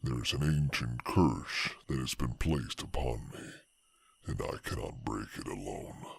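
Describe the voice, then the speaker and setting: A man voicing a massive cursed male werewolf, grave and beseeching, with a low, gravelly, husky voice in a slow, solemn fantasy story delivery. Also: lively intonation, no echo, exaggerated voice. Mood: grave pleading.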